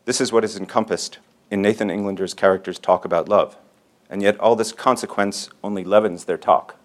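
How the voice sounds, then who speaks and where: Male event host, lowish register, formal and bookish, reading a prepared introduction with care. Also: fairly even intonation. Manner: formal, erudite, deliberate phrasing. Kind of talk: scripted literary introduction read aloud.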